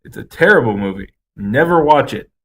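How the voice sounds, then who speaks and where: A man's voice: mid-range voice, animated, a little fast, a bit bright, rough recording. A man co-hosting a podcast, giving blunt, emphatic opinions in casual chat with some swearing. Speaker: podcast co-host. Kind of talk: casual ranting movie review chat.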